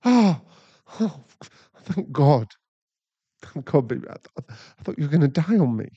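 Man acting a mellow British boyfriend. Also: fairly high pitch, sing-song, unhurried, slightly rough, no room sound, dull audio, exaggerated voice. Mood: anxious, shaken. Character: caring young boyfriend, chatty and easily flustered. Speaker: voice actor in an ASMR boyfriend roleplay. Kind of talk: intimate ASMR boyfriend roleplay acting.

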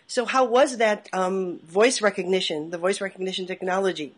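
Woman interviewer, mid pitch, asks long, winding questions. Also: steady tone, normal speed.

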